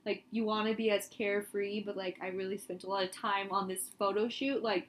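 A young woman co-hosting a casual chat podcast, animated and emphatic, laughing often. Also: mid-range voice, brisk. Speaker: podcast co-host.

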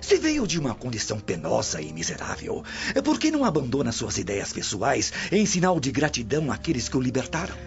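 Stern Roman interrogator, Brazilian radio drama, formal, accusing, highly varied pitch, dull recording. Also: male voice, wildly swooping pitch, fairly quick, creaky, hardly any pauses. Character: stern Roman guard commander, menacing male interrogator. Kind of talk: radio soap acting in Portuguese. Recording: muffled radio drama with music underneath.

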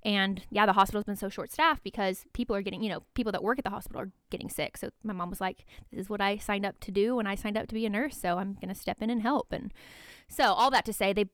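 Woman, podcast guest, quick and bubbly, crisp resonant tone. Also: mid-range voice, fairly even intonation, a bit bright, dry recording, clean studio sound. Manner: chatty, bubbly storyteller. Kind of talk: casual chat. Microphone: close studio mic.

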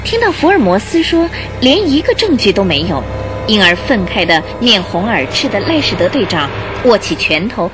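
A woman narrating a detective radio drama as a storyteller, in a scripted, expressive Mandarin delivery. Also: mid-range voice, moderate pace, music in the background, lightly acted.

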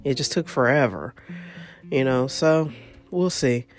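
A woman's voice: rumbling low, expressive, measured pace. A woman hosting a solo podcast, congested and coughing now and then, talking in a chatty, rambling, emphatic way. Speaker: podcast host. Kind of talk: casual solo podcast chat about football.

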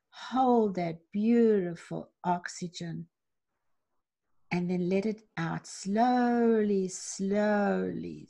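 A woman leading parents through a slow, gentle guided meditation, asking soft reflective questions with long pauses. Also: mid-range voice, gravelly. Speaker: parenting coach guiding a reflection exercise.

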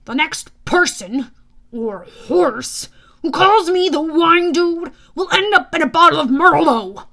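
A woman voicing a haughty, bitter, menacing male wine god in an audiobook, with a sneering, deliberate, pompous delivery. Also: sits high, expressive, sharp tone, heavily acted. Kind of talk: audiobook character voice.

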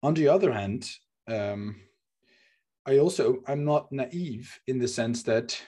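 A man's voice: medium pitch, takes it slowly, dark tone. Earnest Dutch-accented man hosting a podcast. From Netherlands. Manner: earnest, searching, frequent fillers and repeats. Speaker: podcast host. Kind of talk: reflective podcast discussion.

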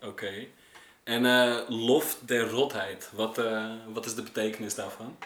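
A man's voice: on the low side, fairly even intonation, unhurried, a bit bright, slight background noise. A man hosting a casual, conversational podcast interview. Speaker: podcast host interviewing artists. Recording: echoey room.

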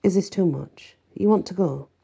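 Woman voicing a calm, husky protective man. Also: lowish voice, normal speed, heavily acted. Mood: gentle. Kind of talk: audiobook character voice.

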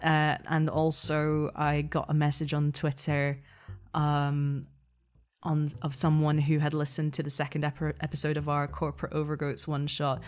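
A woman's voice: low voice, monotone, normal speed, a little mellow. A woman being interviewed as a guest, speaking casually and thoughtfully, feeling her way through her phrases. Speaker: interview guest, podcast producer.

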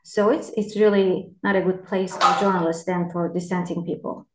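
A woman's voice: on the low side, fairly even intonation, moderate pace, very noisy. Woman in an echoey room. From Turkey. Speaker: journalist guest. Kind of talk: interview answers.

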